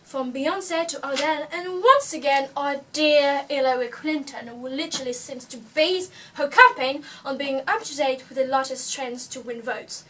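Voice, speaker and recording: A woman's voice: sits high, moderate pace, hardly any pauses, sharp tone, far from the mic. A woman presenting a parody news show in a casual, chatty way, dropping fillers as she talks.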